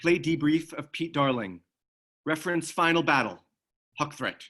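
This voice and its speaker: A man playing a sharp-edged military commander in his forties, terse and questioning, at a screenplay table read. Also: on the high side, expressive, normal speed. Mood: terse, serious.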